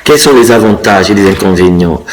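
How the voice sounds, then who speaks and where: A man in his fifties from Congo, answering interview questions thoughtfully and pausing often to find his words. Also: on the low side, expressive, over music, rough recording. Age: 50s. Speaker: interviewee, dancer and choreographer.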